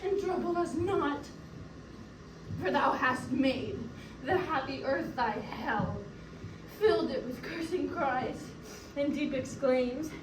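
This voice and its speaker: A young woman acting a grieving, vengeful widowed noblewoman in a declamatory, emotional classical Shakespearean stage style. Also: sits high. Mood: defiant, scornful. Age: young adult. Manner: declamatory, emotional, slow deliberate delivery. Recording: roomy, distant mic, noisy room.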